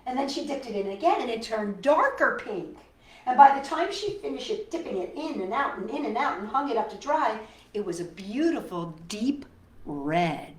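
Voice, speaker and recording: Female storytime host, very lively pitch, expressive read-aloud with chatty asides, recorded at a distance in an echoey room. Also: normal speed. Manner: expressive, childlike wonder, rhetorical questions to listeners. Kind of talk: reading a picture book aloud to children with chatty asides. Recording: roomy echo, distant microphone.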